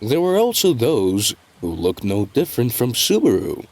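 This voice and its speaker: A man reading scripted dramatic narration in a measured storytelling delivery, with deliberate pauses. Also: medium pitch, huge pitch swings, darkish tone.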